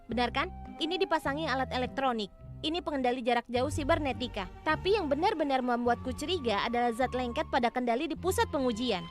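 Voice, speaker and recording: A woman's voice: high-pitched, fast talker, natural voice. A woman voicing a clever, confident young female detective in a cartoon dub. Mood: confident explaining. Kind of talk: animated cartoon dub acting. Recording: music behind the voice.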